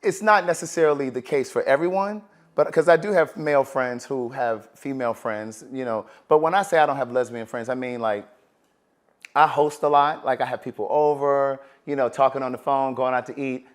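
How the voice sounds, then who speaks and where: Host, male, middle-aged: friendly, conversational, mid-pitched, loose restarts. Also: moderate pace. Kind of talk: relaxed interview chat.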